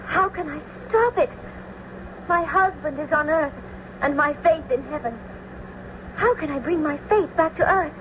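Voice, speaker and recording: High-voiced, despairing young noblewoman. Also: unhurried, pauses often. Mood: sorrowful. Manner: solemn, poetic, declamatory. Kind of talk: classical tragedy radio acting. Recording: muffled, noisy old radio recording.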